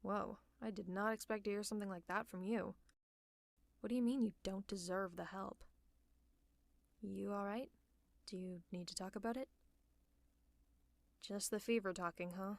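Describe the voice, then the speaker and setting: Woman acting as a tough, caring supervillain, high-voiced. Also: moderate pace, pauses often, no echo, natural voice. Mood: soft, concerned. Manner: conversational, reassuring, gently teasing. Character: tough, caring female supervillain tending an injured hero. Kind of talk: ASMR roleplay audio drama.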